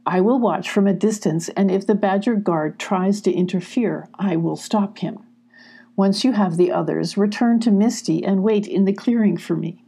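A woman voicing a calm, calculating male leader in a storybook, speaking in a measured, deliberate way. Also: mid-range voice, vocal fry, husky. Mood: calm, planning.

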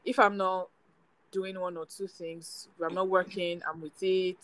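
A woman's voice: lowish voice, flat delivery, a little slow, fairly bright tone, no room sound, rough recording. A young woman from Nigeria co-hosting a podcast with a sweet, soothing voice, chatty and rambling with many fillers. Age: young adult. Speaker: podcast co-host. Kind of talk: casual chat.

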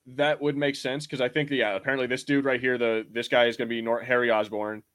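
A man's voice: mid-range voice, flat delivery, fast, a bit bright, clean tone. A man co-hosting a podcast, chatting casually in a rambling, slangy way. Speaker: podcast co-host. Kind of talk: casual pop culture discussion.

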